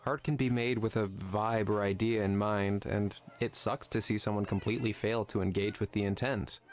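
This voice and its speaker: A man's voice: lowish voice, steady tone, normal speed, a little mellow, soft background music, close to an everyday voice. A man playing a sarcastic, irreverent film nerd in a visual novel game, wry and mischievous in his needling. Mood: earnest.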